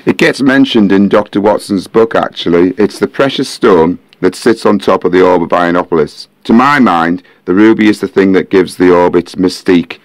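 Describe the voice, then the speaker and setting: Man playing a deep-voiced, calm pundit. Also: normal speed, few pauses, dry recording, natural voice. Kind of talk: podcast-style audio drama dialogue.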